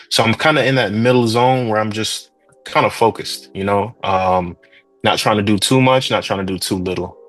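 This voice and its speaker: A young man from Trinidad, now a 3D artist, talking about his path in a relaxed, rambling interview manner. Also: on the low side. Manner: laid-back, rambling, slangy. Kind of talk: casual interview chat.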